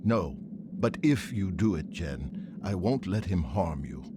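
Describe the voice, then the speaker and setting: An earnest, protective fantasy hero talks in a fairly low voice with lively pitch. The delivery is conversational game-cutscene acting, over clear background noise. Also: male voice, slow pace, full character voice. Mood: mild, reassuring.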